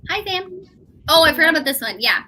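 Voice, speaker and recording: A woman's voice: high-pitched, expressive, brisk, sharp tone. A woman co-hosting a casual book chat, exclaiming and acting out reactions as she talks. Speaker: co-host of a book club live show.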